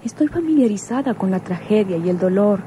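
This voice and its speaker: A woman's voice: medium pitch, expressive, a little fast, mellow, natural voice. An actress playing a mature, strong and serene woman in grief in a radionovela. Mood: grieving. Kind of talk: radionovela acting. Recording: clear vehicle noise behind.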